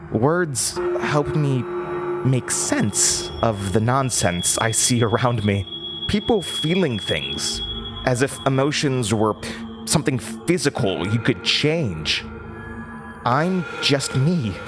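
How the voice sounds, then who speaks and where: A slow, creepy word-hungry entity. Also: male voice, mid-range voice. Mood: detached, brooding. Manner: theatrical, giggling, savoring words. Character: gleeful, unsettling word-devouring entity named Sally, speaking through a man. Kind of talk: horror audio drama monologue.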